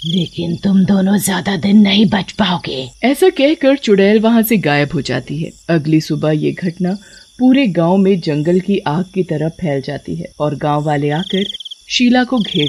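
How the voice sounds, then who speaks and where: A woman's voice: fairly low pitch, animated, moderate pace, a little mellow, slight background noise. A woman storyteller reading scripted horror narration.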